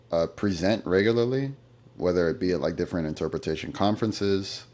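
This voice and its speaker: Very deep-voiced man telling stories. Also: darkish tone. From United States. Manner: thoughtful storytelling, occasional fillers. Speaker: interview guest, interpreter. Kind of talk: relaxed conversational interview.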